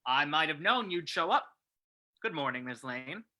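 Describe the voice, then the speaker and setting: A man playing a gruff, fussing police commissioner in an old-time radio reading. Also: fairly high pitch, expressive, normal speed, pushed and bright. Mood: brisk.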